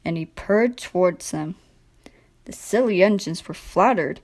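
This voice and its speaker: A woman's voice: lowish voice, unhurried, darkish tone. A woman narrating a scripted children's storybook.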